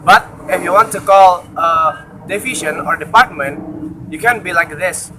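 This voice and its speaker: A young man giving a halting step-by-step demonstration in simple non-native English, outdoors. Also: high voice. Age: young adult. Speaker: student demonstrator. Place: outdoors beside a campus building. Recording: faintly noisy outdoor recording.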